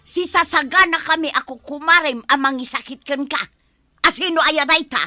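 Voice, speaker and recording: A feisty, curious village woman with a high, bright, forceful voice. She is gossipy and full of questions, Ilocano-accented, in radio comedy-drama style. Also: steady tone, moderate pace, dry recording, faint music behind.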